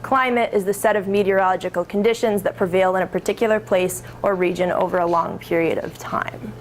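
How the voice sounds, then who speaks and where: A woman's voice: medium pitch, fairly even intonation, a little fast, slight background noise, rough recording. A woman presenting to an audience, explaining with friendly asides and self-corrections. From Minnesota. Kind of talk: public talk.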